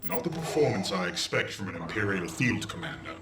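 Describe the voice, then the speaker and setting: An imperious, cold imperial dark lord in a video game, low and menacing. His formal, deliberate delivery swings in pitch and rings with echo over clear background noise. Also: big pitch swings. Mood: stern.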